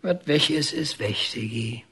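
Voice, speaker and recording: A calm painter in a radio drama. His husky voice moves slowly with wide rises and falls. He is measured, gruff and fatherly. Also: mid-range voice, exaggerated voice. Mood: patient, reassuring. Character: calm, patient painter, fatherly friend to a boy.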